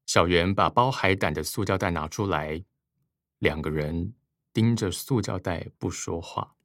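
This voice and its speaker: A man's voice: deep voice, unhurried, fairly bright tone, no room sound, clean studio sound. A man with a Taiwanese Mandarin accent narrating a scripted radio drama. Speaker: narrator reading synopsis, credits and stage directions.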